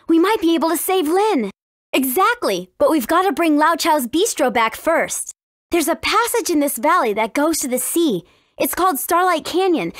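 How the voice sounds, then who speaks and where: A woman playing a friendly, earnest, cautious teenage princess in a video game, with expressive delivery. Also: extremely high pitch, dry recording, studio quality. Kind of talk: video game dialogue acting.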